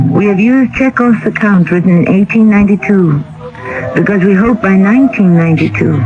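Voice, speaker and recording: A male host giving a scripted dramatic introduction in a grave, deliberate storytelling manner. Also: high voice. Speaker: host of radio mystery series. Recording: dull old radio broadcast, music behind.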